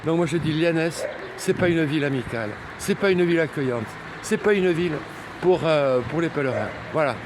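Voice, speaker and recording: A man's voice: fairly high pitch, brisk. Male hiking vlogger, teasing and playful, wind-battered outdoor mic. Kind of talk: joking travel vlog to camera. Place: outdoors on a coastal pilgrimage trail.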